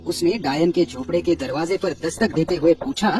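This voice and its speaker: Male narrator, fairly high voice, scripted children's horror storytelling, light music behind. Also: fairly quick.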